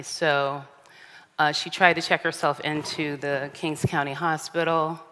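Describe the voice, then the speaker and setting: A woman artist giving a measured conference talk from a lectern, pausing often with ums. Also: sits low, a bit bright, big-room sound. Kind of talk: lecture with slides. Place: large theater stage. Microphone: reading at a lectern.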